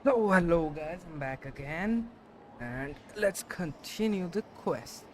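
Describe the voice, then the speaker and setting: A man's voice: on the high side, expressive, slow, a little hiss or hum. A man giving casual livestream commentary as he plays through a game. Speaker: game streamer.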